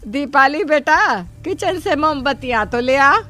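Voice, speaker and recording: Woman as a high, calling village mother. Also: animated, bright, forceful tone, full character voice. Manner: calling out, drawn-out. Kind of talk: animated story dialogue.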